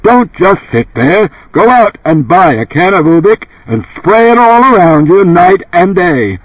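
Man acting a booming, brash TV pitchman, animated. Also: measured pace, no room sound, highs cut off, full character voice. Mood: insistent. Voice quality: gravelly, dark, resonant. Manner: emphatic, salesmanlike, brash. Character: dead older tycoon as booming, blunt TV pitchman.

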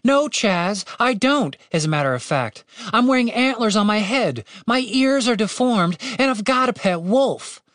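Man voicing an indignant young elf woman, high-pitched. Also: very animated, normal speed, fairly bright tone, husky, no room sound, studio quality, exaggerated voice. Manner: exasperated, argumentative.

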